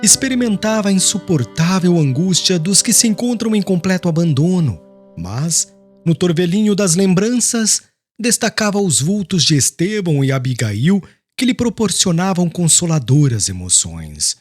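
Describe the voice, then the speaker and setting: A man narrating a literary audiobook in a solemn, expressive, scripted manner. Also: high voice, normal speed. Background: music.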